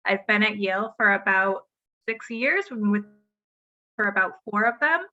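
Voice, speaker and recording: A woman's voice: medium pitch, moderate pace, sharp tone, muffled recording. A woman working as an admissions officer, introducing herself in an upbeat, polite way on a webinar.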